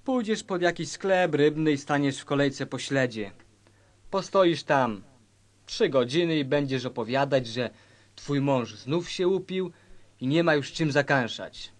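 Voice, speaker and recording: A man acting a cool, calculating lover who plots crime in a satirical radio play, deliberate and instructing. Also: on the high side, lively intonation.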